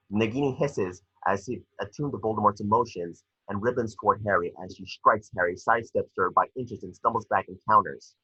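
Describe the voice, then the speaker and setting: A man's voice: on the low side, sharp tone, slightly rough, lo-fi sound. A man reading screenplay stage directions as narrator at an amateur table read, occasionally stumbling over the words.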